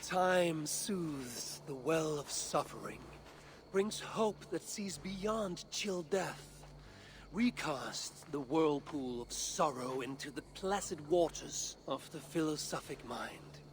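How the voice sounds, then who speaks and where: An actor playing a proud, witty Norse god in a video game, speaking in an eloquent, teasing, self-assured way. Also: male voice, fairly high pitch, expressive, slow pace. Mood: wry, grandiose. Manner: wry, eloquent, lofty. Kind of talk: video game cutscene acting. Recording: clear background ambient noise.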